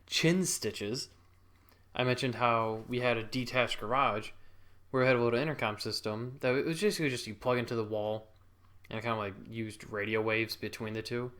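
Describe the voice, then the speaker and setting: A man's voice: medium pitch, moderate pace, fairly bright tone. A young man casually telling a childhood story, rambling and interrupting himself with chuckles.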